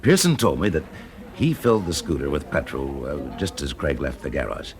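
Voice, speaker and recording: A British man acting a shrewd, methodical fire investigator in a radio drama, measured and politely probing with small hesitations. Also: a bass voice, very animated, heavily acted. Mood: reasoning. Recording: noisy vintage radio drama recording.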